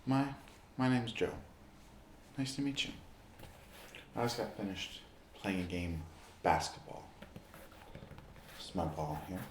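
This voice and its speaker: A man performing an ASMR roleplay as a friendly amateur basketball player, speaking in short, deliberate, halting phrases as he shows objects. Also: deep voice, animated. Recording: roomy echo, clear background noise.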